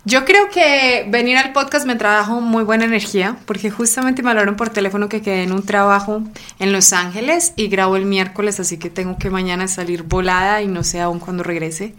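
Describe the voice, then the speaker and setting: A woman's voice: medium pitch, fairly quick, a bit bright. A woman in her thirties who grew up in Colombia, chatting enthusiastically as a podcast guest. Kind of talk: casual interview.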